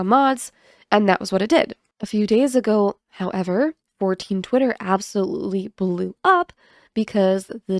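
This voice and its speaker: A sick, congested woman talks through a gaming topic with a cough drop in her mouth. Her voice has a gravelly edge and sits at an ordinary middle pitch. The sound is dry and close, with no room around it. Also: no echo. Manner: chatty, self-mocking, animated asides. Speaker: gaming video host. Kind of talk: casual commentary and reading aloud.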